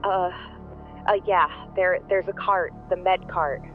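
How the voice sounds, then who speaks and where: Scared night nurse, panicky and out of breath, horror audio play, music going underneath. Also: female voice, on the low side, steady tone, slow pace, narrow, tinny audio. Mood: terrified. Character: terrified female night nurse trapped in a care home. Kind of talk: modern horror audio drama acting.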